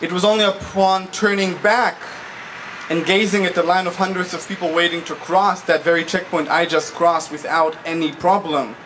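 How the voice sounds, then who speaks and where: A man in his twenties from Israel giving a public speech as a guest speaker, deliberate and articulate, phrase by phrase. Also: high voice, steady tone, fairly bright tone. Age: 20s. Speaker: guest speaker, political activist. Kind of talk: public speech, personal testimony. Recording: noisy live event recording.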